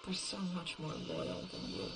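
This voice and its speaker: A woman playing a haunted, bitter lone survivor in a horror audio drama, brooding and halting in her delivery. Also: low-pitched, wildly swooping pitch, gravelly, very noisy, exaggerated voice. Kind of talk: first-person horror audio drama monologue.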